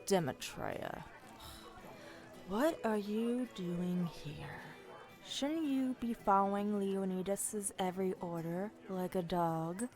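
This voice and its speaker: A woman's voice: medium pitch, takes it slowly, a little mellow, faint music behind. A woman playing a sassy, eager young female assassin in a fantasy audio drama, chatty and snarky. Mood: hostile, wary. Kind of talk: amateur fantasy audio roleplay acting.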